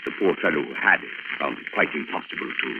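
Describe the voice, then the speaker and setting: An actor playing a suave, menacing enemy agent in an old radio adventure, with a mocking, polite, unhurried manner. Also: male voice, a bass voice. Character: suave menacing villain, heavyset gray-haired enemy agent. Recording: noisy, muffled old-time radio recording.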